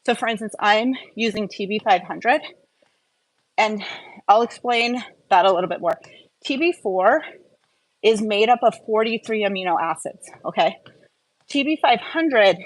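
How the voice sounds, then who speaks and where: A woman with a medium-pitched voice talks as the host of an informal live stream. She teaches in an enthusiastic, emphatic way. Her delivery is casual and chatty, with asides to the viewers. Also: moderate pace, pauses often, no room sound, rough recording.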